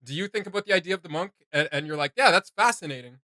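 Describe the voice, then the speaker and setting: Bright, punchy man rambling bluntly. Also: sits high, animated, quick pace, dry recording. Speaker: reaction video host. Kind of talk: casual off-the-cuff commentary.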